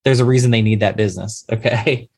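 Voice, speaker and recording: A man's voice: fairly low pitch, steady tone, fast talker, gravelly, a little mellow, slightly rough. A man, a lawyer giving emphatic, step-by-step advice as a guest in an interview. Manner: advisory, emphatic, explains step by step. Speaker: insurance claims lawyer. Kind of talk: podcast interview answer.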